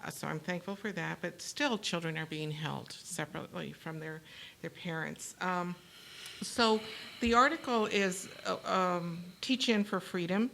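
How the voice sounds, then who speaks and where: A woman hosting a panel discussion, her voice low and gravelly. She talks haltingly, with many fillers and restarts. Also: fairly bright tone, slight background noise. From New Mexico, USA. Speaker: talk show host.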